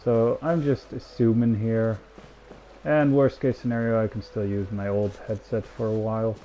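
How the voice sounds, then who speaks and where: A man's voice: fairly low pitch, fairly even intonation, moderate pace, dark tone. A man chatting in a casual, rambling way as a game streamer, speaking into a headset mic. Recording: dull headset mic, faint noise.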